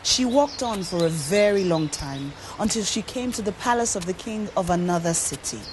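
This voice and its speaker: Expressive Nigerian woman telling a story. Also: on the low side, moderate pace, slight background noise. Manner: expressive, dramatic. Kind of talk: scripted folktale narration. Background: music.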